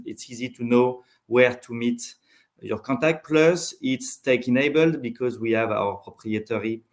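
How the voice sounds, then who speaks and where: Male executive guest, French accent, medium register, unscripted and somewhat hesitant phrasing. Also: medium pitch. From France. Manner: halting, hesitant phrasing with repeated words. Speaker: interview guest, chief executive. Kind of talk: unscripted business interview answers.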